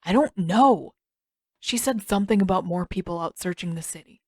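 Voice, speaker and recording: A woman's voice: fairly low pitch, normal speed, slightly rough, dry recording, clean studio sound. A woman voicing a tired, sullen teenage prince in an audiobook story, terse, defensive and sarcastic. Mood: weary, sullen.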